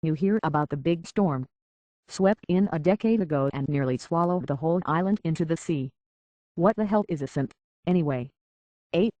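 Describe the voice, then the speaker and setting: An actor playing a gruff, proud, older male island hunter in a video game, with a gravelly, husky voice and folksy, rustic speech. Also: fairly high pitch, moderate pace, a little mellow, no room sound, natural voice. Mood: wary, gruff. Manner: folksy rustic speech with dropped grammar and ain't. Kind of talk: video game dialogue acting.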